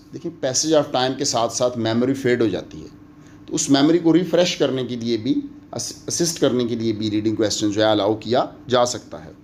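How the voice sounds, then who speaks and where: A man's voice: mid-range voice, a little mellow. Pakistani man lecturing, with background noise. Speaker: law lecturer. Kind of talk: explanatory lecture, switching between Urdu and English.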